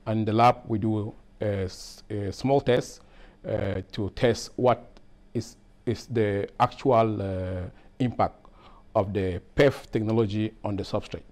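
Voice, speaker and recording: A man's voice: fairly low pitch, steady tone, unhurried, darkish tone. A middle-aged man from Cameroon, a process engineer, talking in an interview in a lab with a lapel mic, hesitant with many fillers and restarts. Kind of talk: workplace interview. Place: lab, indoors.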